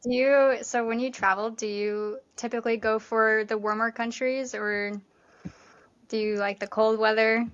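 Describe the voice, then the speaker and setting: A woman's voice: fairly high pitch, fairly even intonation, moderate pace, dull audio. A woman hosting a podcast interview, upbeat and chatty with lots of fillers. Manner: upbeat, chatty, says "like" often. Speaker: podcast host. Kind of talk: casual interview chat.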